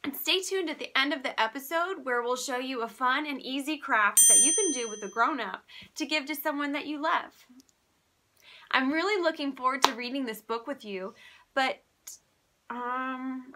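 Animated woman reading to young children. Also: sits high, normal speed, background noise. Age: 30s. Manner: animated, exaggerated expression for kids. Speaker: children's read-aloud show host. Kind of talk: presenting to young children, picture-book reading.

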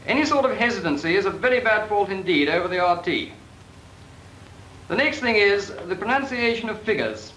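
A man instructing trainees in radio procedure, brisk and corrective, in 1940s training film dialogue. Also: high-pitched, sing-song, pushed and bright. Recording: echoey 1940s film soundtrack with hiss.

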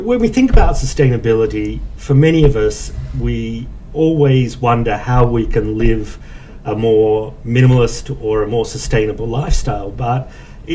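An older man hosts a roundtable interview in a calm, measured, courteous way, with frequent ums. His voice sits at an average pitch. He is picked up from a little way off by a desk mic, with a faint hiss behind him. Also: far from the mic, poor audio. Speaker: host. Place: office meeting room, indoors. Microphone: desk microphone from a distance.

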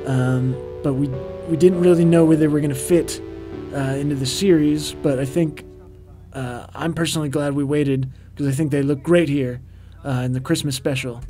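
A man's voice: on the high side, fairly even intonation, normal speed, natural voice. A man voicing a vain, boastful ghost who hosts a comedic commentary track, talking in a hammy, pompous way over music. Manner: pompous, hammy, grows loud and ranting. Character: vain festive male ghost host, boastful. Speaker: co-host. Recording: music playing underneath.

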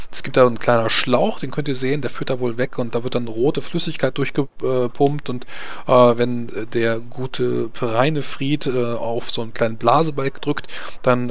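A man narrating a tabletop role-playing game as game master, improvising in a halting way. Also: mid-range voice. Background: background music.

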